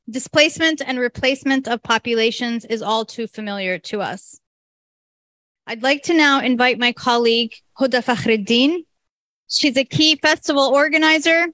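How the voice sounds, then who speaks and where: A woman's voice: fairly high pitch, fairly bright tone, no room sound. Woman giving a formal opening address. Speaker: festival organizer and host.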